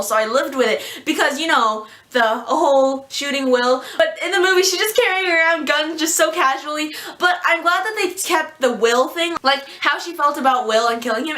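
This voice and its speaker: Chatty young woman in a roomy room. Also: sits high. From United States. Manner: chatty, animated, slips into character voices. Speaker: book and movie reviewer. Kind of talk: casual vlog review.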